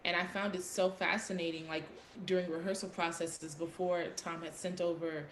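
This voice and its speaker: Stage actress in casual panel discussion; echoey room, faint background noise. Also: female voice, on the low side, flat delivery, moderate pace. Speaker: theatrical actor.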